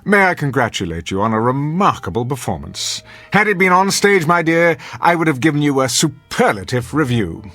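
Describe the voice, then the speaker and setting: An actor playing a suave, manipulative middle-aged husband, urbane and theatrical, in an old-time radio drama. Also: male voice, on the high side, sing-song, normal speed, few pauses, a bit bright, soft background music, full character voice. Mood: mocking delight. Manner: pompous, theatrical, urbane, goading.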